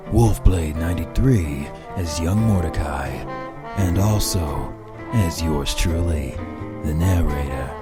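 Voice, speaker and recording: A man serves as narrator, reading scripted credits in a deep voice. He takes his time, with prominent music playing under him. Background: loud music.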